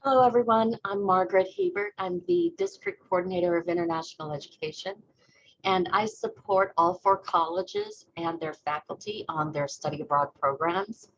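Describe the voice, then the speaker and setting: A woman education coordinator introducing herself on a video call, warm and encouraging. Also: medium pitch, big pitch swings, normal speed, creaky, lo-fi sound.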